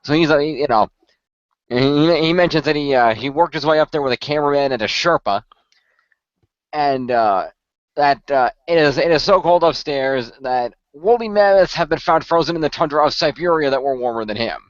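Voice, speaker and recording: A man's voice: fairly high pitch, moderate pace, dry recording. A man co-hosting a casual podcast, recapping events in a rambling way with many restarts. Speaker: podcast co-host. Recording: muffled dry lo-fi audio.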